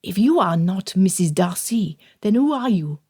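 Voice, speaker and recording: A woman doing a blunt, excitable French madame. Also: medium pitch, moderate pace, husky. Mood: suspicious.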